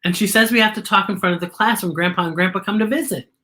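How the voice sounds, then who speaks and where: A woman's voice: medium pitch, quick pace, pushed and bright, pitched above the performer's own voice, close to an everyday voice. A woman voicing a timid, upset little girl in a storybook, pleading and insistent.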